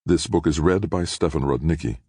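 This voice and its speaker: A man narrating an audiobook in first person, with a measured, dry storytelling manner on a close studio microphone. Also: extremely low pitch, darkish tone, breathy edge, dry recording.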